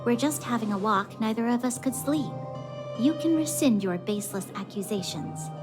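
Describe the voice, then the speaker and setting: A woman's voice: on the high side, moderate pace, close to an everyday voice. Woman playing an earnest, principled young female adventurer. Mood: firm. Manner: firm, articulate, earnest. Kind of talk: video game voice acting. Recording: game music behind the voice. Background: music.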